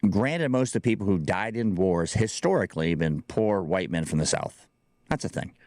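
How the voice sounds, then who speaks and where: An older man commenting in his own video, speaking in a slow, measured, lecturing way. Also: sits low, lively intonation, darkish tone, no echo, studio quality.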